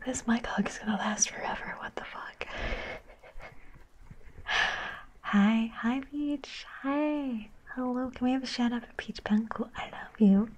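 Slow, playful whispering woman. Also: on the high side, steady tone, a little hiss or hum, poor audio. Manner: soft whisper, playful and giggly. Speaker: ASMR streamer. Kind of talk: ASMR whispering livestream.

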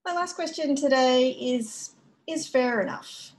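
A woman giving a conference keynote over a video call, explaining in a measured way with frequent fillers. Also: high voice. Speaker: keynote speaker. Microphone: video call webcam.

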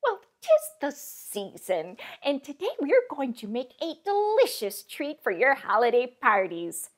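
A young woman playing a chirpy, wacky cooking-show host in a home kitchen, with a bubbly sing-song delivery and sudden hushed asides. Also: high voice, wildly swooping pitch, a little slow, full character voice. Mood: perky. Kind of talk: parody cooking show, scripted comedy.

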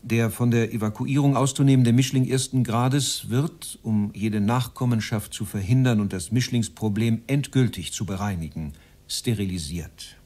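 An actor playing a cold, officious Nazi official chairing a conference in a radio drama, with a formal, clipped, enumerating delivery. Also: male voice, mid-range voice, normal speed, dark tone, natural voice. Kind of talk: dramatized reading of a protocol.

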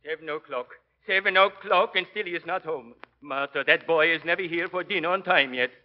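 On a dull old radio recording, a male actor plays a stern immigrant papa, high-pitched and exasperated, in 1940s drama style. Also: fairly quick, lightly acted.

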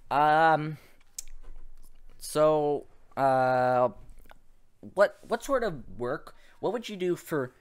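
A man's voice: fairly high pitch, very slow, pauses often, smooth. A man hosting a podcast interview with his grandmother, asking questions in a hesitant way with many fillers. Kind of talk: casual interview.

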